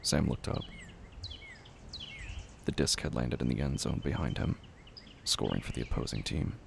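Very deep, gravelly male horror narrator. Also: moderate pace, slight background noise. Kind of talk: scripted literary horror narration.

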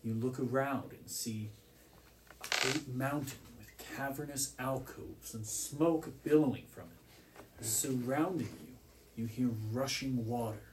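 A man's voice: mid-range voice, measured pace, noisy background. A man acting as game master, narrating a tabletop game with descriptive scene-setting.

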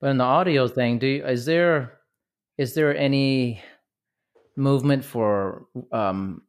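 A man's voice: medium pitch, slow, clean tone. Man, American accent, podcast host, laid-back, talkative, laughs a lot. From United States. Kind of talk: casual podcast interview.

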